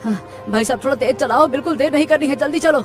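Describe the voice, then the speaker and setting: An actor voicing a decisive, hurried village man who takes charge in a Hindi cartoon story. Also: high-pitched, music in the background, lightly acted. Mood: urgent.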